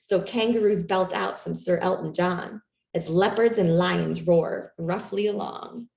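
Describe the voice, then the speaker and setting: A woman reading a children's picture book aloud in an expressive, animated storytelling manner for young listeners. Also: on the low side, measured pace, gravelly. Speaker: storytime reader. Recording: roomy, echoey room sound.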